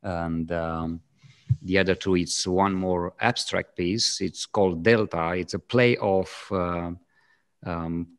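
A man in his thirties with a strong Romanian accent, co-hosting a casual video call chat, talking hesitantly with many pauses. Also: low voice, fairly even intonation, clear, resonant tone. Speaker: co-host, photographer. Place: home studio with framed art on walls. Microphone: studio mic on boom arm.

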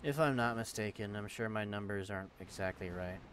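A young man with a fairly low voice and a flat, monotone delivery chats through a co-op game session, explaining mechanics as he plays. His headset mic picks up a clear layer of steady background noise. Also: a little fast. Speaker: gamer streaming a co-op session. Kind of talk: casual gaming chat. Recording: noisy voice-chat capture.

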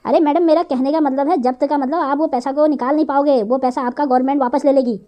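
A woman's voice: sits high, fairly even intonation, fast talker, warm tone, a little hiss or hum, close to an everyday voice. A woman voicing a pushy male phone scammer who poses as an officious bank manager in a comic cartoon. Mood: persuasive, pressing. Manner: persistent, wheedling, officious.

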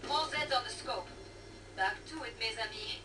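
A woman playing a brisk, wry, teasing trader in a video game. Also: a little high, distant mic, close to an everyday voice. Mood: urgent. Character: brisk, wry French-speaking woman trader guiding fighters. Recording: noisy, echoey game audio from TV speaker.

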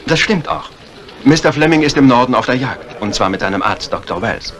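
An actor playing a courteous, correct bank director in a 1950s radio drama, speaking formally. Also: male voice, medium pitch, animated, fairly quick, a bit bright, close to an everyday voice. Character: polite, correct bank director and newlywed husband.

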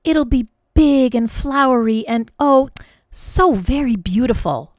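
A woman's voice: sits high, lively intonation, slow pace, no room sound, clean studio sound, pitched above the performer's own voice. A woman voicing a little girl who longs for a fancy hat, speaking breathlessly and wistfully.

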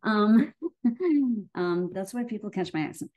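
A woman's voice: mid-range voice, lively intonation, slow, darkish tone. A woman with an Irish accent giving a casual webinar product presentation over a video call, with frequent fillers and restarts. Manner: hesitant, many fillers and restarts.

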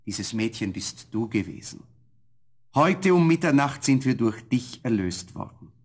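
An actor playing a noble, tender young prince in a fairy-tale audio play, with a solemn, courtly manner and a husky voice. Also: male voice, mid-range voice, sing-song, measured pace. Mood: solemn, happy.

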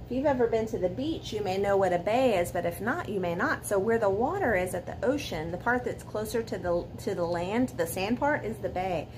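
A woman in her forties reads a children's bedtime story aloud with dramatic emphasis, pausing to put questions to young listeners. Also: medium pitch, lively intonation. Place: living room at home beside a fireplace. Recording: clear background noise, room ambience.

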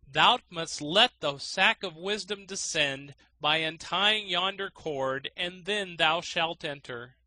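A man voicing a storybook trickster who boasts of lofty wisdom in slow, declamatory archaic speech. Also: fairly high pitch, bright, forceful tone, no echo, pitched above the performer's own voice, exaggerated voice, rough recording. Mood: feigned reluctance. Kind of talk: storybook character reading.

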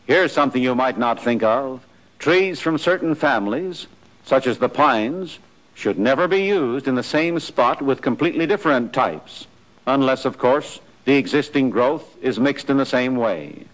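An American man narrating a 1940s military training film in a scripted, instructive style, with clear imperative delivery. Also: medium pitch, lively intonation, normal speed. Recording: noisy 1940s film soundtrack.

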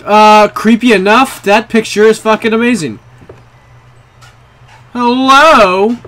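A young man in a small bedroom, casually commenting on a horror game for viewers, jumpy and excitable, swearing often. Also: sits high, slow. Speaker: gaming video creator.

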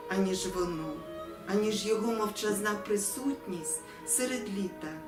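Slow, solemn woman reciting in echoey room. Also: on the high side. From Ukraine. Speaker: poetry reader. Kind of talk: solemn poetry recitation with spoken introduction. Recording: echoey room, distant mic, music behind.

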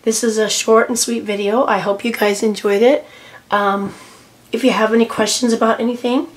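A middle-aged woman with a hoarse voice from a cold, chatting casually as she walks through her journal pages, with lots of ums and restarts. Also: on the high side, steady tone, normal speed, low-quality recording. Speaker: journaling vlogger. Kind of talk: casual tutorial walkthrough. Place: home desk, camera over a tablet.

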